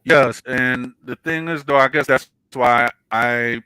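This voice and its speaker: A man who streams and raps, talking casually and haltingly with many fillers and restarts. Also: mid-range voice, sharp tone, clear, resonant tone, no echo. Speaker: streamer and rapper. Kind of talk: casual online conversation. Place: Santo Domingo.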